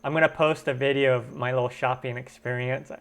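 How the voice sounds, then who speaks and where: A man's voice: mid-range voice, steady tone, fairly quick, creaky, a bit bright. A man hosting a casual live stream Q&A for his cooking channel, greeting viewers and answering chat comments, recorded from home on a computer webcam mic. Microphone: computer webcam microphone.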